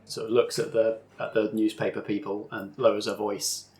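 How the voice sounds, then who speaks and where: A man's voice: fairly low pitch, level tone, normal speed, a little mellow. A man narrating a tabletop roleplaying game as its game master.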